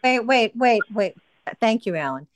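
A woman hosting an informal book group discussion, speaking haltingly and often repeating her phrases. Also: a little high, lively intonation, a little hiss or hum.

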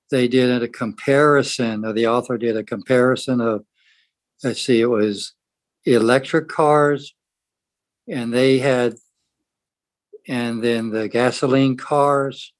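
A man talking casually in a book discussion group, in a halting, rambling way. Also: medium pitch, dry recording. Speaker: book club participant.

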